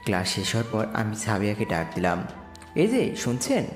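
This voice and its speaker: A man's voice: on the low side, wildly swooping pitch, moderate pace. A man playing a poor, humble young slum boy who earnestly narrates his own romantic audio story. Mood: shy, humble. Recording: echoey reverb with music bed. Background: music.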